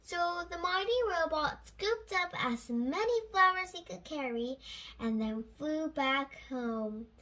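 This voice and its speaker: Child reader, storybook narration, high voice, slow careful pace, occasional stumbles. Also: expressive, bright, forceful tone. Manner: careful oral reading with repeated words and stumbles. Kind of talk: reading a children's storybook aloud.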